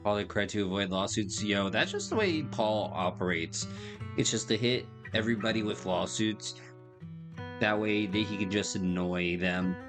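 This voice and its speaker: A man's voice: on the low side, moderate pace. A man livestreaming a game, chatting casually with music playing behind him.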